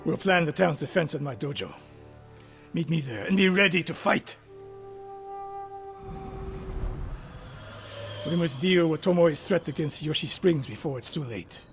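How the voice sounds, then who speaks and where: An actor playing a stern, world-weary older samurai archery master in a video game, gruff, terse and authoritative. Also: male voice, fairly high pitch, lively intonation, normal speed, a bit bright. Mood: tense, urgent. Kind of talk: dramatic video game acting. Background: music.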